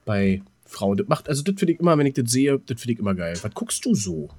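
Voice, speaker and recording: A man's voice: mid-range voice, lively intonation, quick pace, darkish tone. A man chatting casually as co-host of a podcast. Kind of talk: casual chat.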